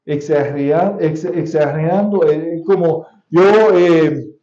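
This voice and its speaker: Guy preaching, high-ish voice but mellow, slow and careful, echoey church, kinda dull sound. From United States. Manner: deliberate preaching with repeated key phrases and occasional restarts. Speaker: pastor. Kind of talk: sermon. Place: church sanctuary.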